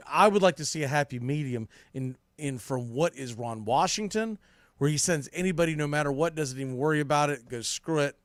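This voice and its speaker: A man hosts a sports podcast in a fairly high voice, recorded close and dry. He talks informally and puts real emphasis behind his opinions, in the style of casual sports commentary. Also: dry recording.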